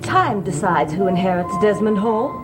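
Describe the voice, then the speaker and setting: An actress playing a proud, stern housekeeper in a gothic soap opera, clipped, haughty and dismissive. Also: female voice, mid-range voice, animated, moderate pace, close to an everyday voice. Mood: indignant, haughty. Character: proud, stern housekeeper mother, fiercely loyal to the master. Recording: music behind the dialogue.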